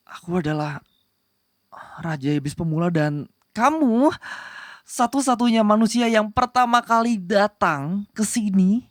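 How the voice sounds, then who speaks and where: A man's voice: high-pitched, dry recording, studio quality. A man playing a young tsundere demon prince in an ASMR roleplay, haughty but easily flustered, slipping between cold menace and casual rambling. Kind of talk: Indonesian ASMR roleplay acting.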